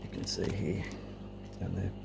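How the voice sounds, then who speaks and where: Deep, gravelly man with noisy sound. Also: level tone, measured pace. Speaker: collector presenting a cassette collection. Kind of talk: casual show-and-tell narration. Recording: clearly noisy amateur home recording.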